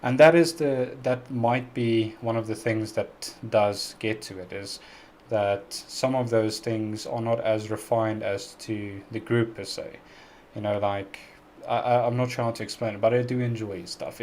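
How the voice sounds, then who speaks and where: A man's voice: on the low side, steady tone, measured pace, darkish tone, slight background noise. A South African man hosting a casual live-stream Q&A, chatty and earnest, who laughs often and pronounces his words very carefully. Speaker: music reaction YouTuber hosting a live stream.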